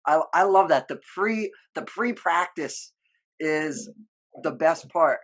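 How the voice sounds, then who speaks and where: Higher-voiced young-sounding male podcast host. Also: lively intonation, slow, fairly bright tone. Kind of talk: casual sports interview.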